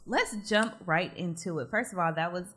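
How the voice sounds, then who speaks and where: A woman's voice: lowish voice, normal speed, fairly bright tone. A woman hosting a podcast interview, friendly and encouraging, often affirming her guest. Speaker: podcast host.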